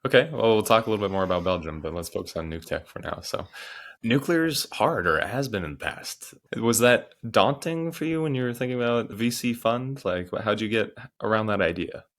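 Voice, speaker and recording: A male podcast host with a very low, deep voice. He asks his questions in a casual, upbeat way, keeping the conversation easy. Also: lively intonation, a little fast, fairly bright tone.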